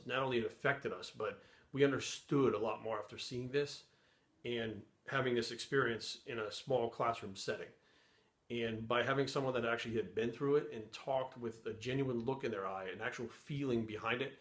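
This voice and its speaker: Middle-aged man from New England, mid-pitched, earnest and rambling, recorded with noticeable room echo. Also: a bit bright. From Rhode Island, USA. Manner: earnest, rambling, restarts phrases. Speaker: fitness YouTuber. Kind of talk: earnest personal to-camera talk.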